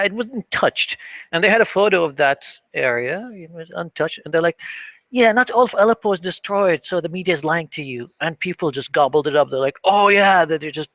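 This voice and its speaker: A man's voice: fairly high pitch, a bit bright, no echo. A man chatting casually on a stream, laughing often and joking sarcastically about politics.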